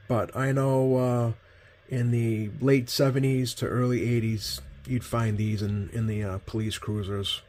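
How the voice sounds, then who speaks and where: A man's voice: medium pitch, a little mellow. A man giving a casual, hands-on demonstration as he hosts at a radio workbench. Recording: clear background noise.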